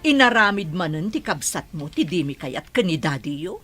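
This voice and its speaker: A woman playing a lively, firm middle-aged mother. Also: low-pitched, normal speed, soft background music. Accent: Ilocano. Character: businesswoman mother, firm but fair. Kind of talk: Ilocano audio drama.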